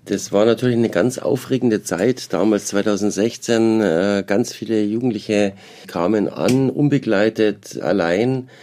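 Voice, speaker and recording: A man who works in youth welfare, answering interview questions calmly. His voice is fairly low and dark. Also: unhurried.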